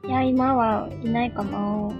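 A woman's voice: fairly high pitch, steady tone, measured pace, close to an everyday voice. A woman playing a coy teenage girl on a festival date in an amateur radio drama. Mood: coy, hesitant. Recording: dull lo-fi sound, guitar music behind.

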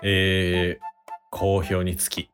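A man's voice: low-pitched, monotone, drawn-out words, music in the background. A man with a Kansai accent co-hosting a casual comedy radio show.

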